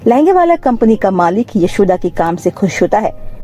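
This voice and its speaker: Mellow woman storyteller over music. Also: medium pitch, sing-song, normal speed. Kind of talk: scripted narration of a moral bedtime story. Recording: music bed under the voice. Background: background music.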